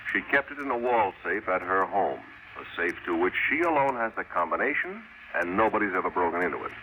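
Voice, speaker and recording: A man's voice: low-pitched, animated, a little fast, sharp tone, exaggerated voice. An American man playing a coy insurance bureau boss who explains and hints in a 1950s radio drama. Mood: earnest briefing. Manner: explanatory, hinting, folksy slang. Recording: faintly noisy vintage radio recording.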